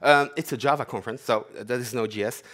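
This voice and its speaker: A man's voice: medium pitch, fast, fairly bright tone. A man in his forties with a French accent, giving a chatty, self-mocking live demo talk on a conference stage. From half French, half Dutch. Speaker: conference speaker, developer advocate. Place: large conference hall stage.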